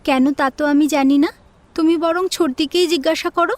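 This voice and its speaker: Woman acting a meek, high-voiced young girl. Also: steady tone, moderate pace, dry recording, close to an everyday voice. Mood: plain. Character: meek, neglected schoolgirl daughter doing household chores. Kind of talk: Bengali audio story acting.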